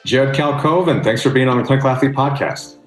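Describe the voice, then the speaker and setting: A man's voice: mid-range voice, quick pace, over loud music. A man hosting a podcast, reading an upbeat scripted intro and then chatting casually with jokes at his own expense. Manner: upbeat, joking, self-deprecating. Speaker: podcast host.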